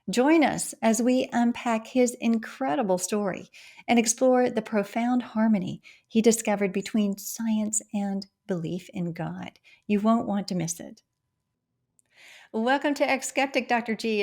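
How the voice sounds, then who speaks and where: A woman hosting an interview podcast, warmly effusive and asking long, multi-part questions. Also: fairly high pitch, unhurried. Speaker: podcast host.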